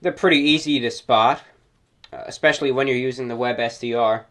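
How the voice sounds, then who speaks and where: A man's voice: medium pitch, brisk, fairly bright tone. A man hosting a radio hobby show, explaining in an informal presentation with slightly formal, stilted phrasing. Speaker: host of a shortwave radio show.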